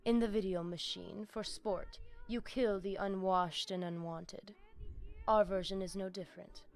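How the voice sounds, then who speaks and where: A woman's voice: medium pitch, fairly even intonation, a little slow, close to an everyday voice. An actress playing a sly, seductive female vampire pack leader in a video game, with a Latin American Spanish accent and a taunting, theatrical manner. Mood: enticing, conspiratorial.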